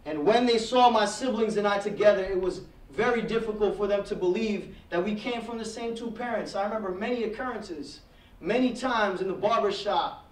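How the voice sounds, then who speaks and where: A man in his thirties telling his personal story to a classroom audience at a heritage month event, standing away from the podium mic. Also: high voice. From Trinidad. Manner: reflective storytelling, gestures while speaking, rising intensity. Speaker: guest speaker. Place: classroom with projector screen. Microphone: podium mic, speaker standing away from it. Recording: echoey room from far off.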